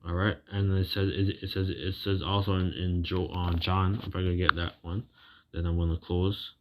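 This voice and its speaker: A man preaching informally as he talks and reads scripture, rambling and halting, repeating phrases and checking in with casual tags, on a muffled recording. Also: deep voice, fairly even intonation, slight background noise. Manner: colloquial, seeks agreement with tags like "right". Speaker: religious preacher. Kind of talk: informal sermon with scripture reading.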